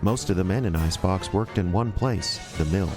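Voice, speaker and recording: A man's voice: low voice, moderate pace, lightly acted. A man acting as a folksy storyteller, narrating an audio drama directly to listeners. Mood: folksy, wistful. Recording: music behind.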